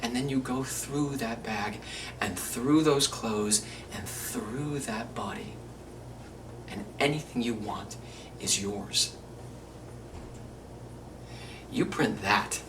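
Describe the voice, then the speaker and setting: A man playing a menacing, controlling killer in a theatrical monologue, cold and volatile, with a deliberate, intimate, chilling delivery. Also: medium pitch, natural voice. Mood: cold menace. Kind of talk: theatrical monologue, self-taped audition. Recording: distant mic, faint room noise.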